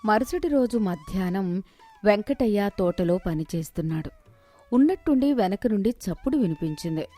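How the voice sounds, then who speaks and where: A woman's voice: mid-range voice, animated, moderate pace, warm tone. Lady storyteller doing scripted narration for a moral story, bit of music behind her.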